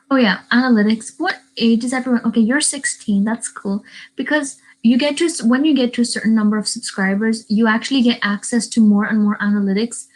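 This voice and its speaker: A woman's voice: on the high side, low-quality recording. A teenage girl from Northern Ireland chatting casually on a livestream, rambling and answering questions from the live chat. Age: teens. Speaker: YouTuber. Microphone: webcam.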